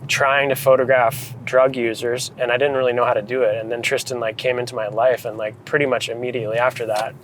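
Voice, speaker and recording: American dude, photographer type, chatting casually n thoughtfully, faint car noise in the background. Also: male voice, mid-range voice, poor audio. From United States, New England. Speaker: photographer and director being interviewed. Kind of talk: casual reflective chat.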